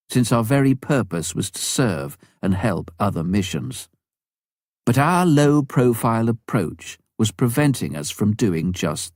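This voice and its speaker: Man narrating a memoir with lively intonation. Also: fairly low pitch, no room sound, clean studio sound. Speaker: audiobook reader.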